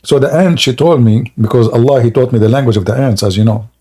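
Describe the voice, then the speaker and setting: A man's voice: mid-range voice, fast talker, mellow, husky. Mocking man. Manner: mocking, joking, tells tall tales with laughs. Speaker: host. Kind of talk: live call-in religious debate show.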